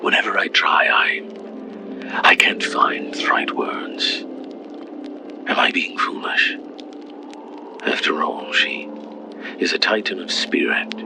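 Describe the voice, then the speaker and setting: A man as a very deep, gentle giant. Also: lively intonation, takes it slowly, long pauses, background noise, heavily acted. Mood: shy, hesitant. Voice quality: very deep, breathy, gravelly. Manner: hesitant, earnest. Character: gentle, lovestruck male titan, humble and shy. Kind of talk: fantasy audio drama acting.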